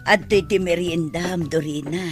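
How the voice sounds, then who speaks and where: A woman's voice: lowish voice, steady tone, normal speed, over music, lightly acted. A woman playing a meek, patient household helper with an apologetic manner in a radio drama. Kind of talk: radio drama acting in Ilocano.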